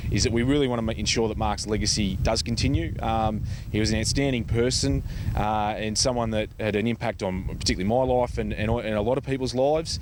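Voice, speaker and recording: A man with an Australian accent answering interview questions in a halting, conversational way, often repeating words. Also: lowish voice, background noise. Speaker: charity foundation representative being interviewed.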